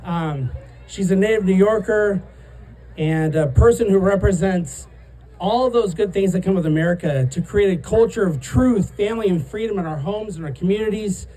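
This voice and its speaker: High-voiced man introducing a speaker. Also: dark tone, rough recording. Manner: admiring, builds to a slow announcement. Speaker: emcee. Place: outdoor rally.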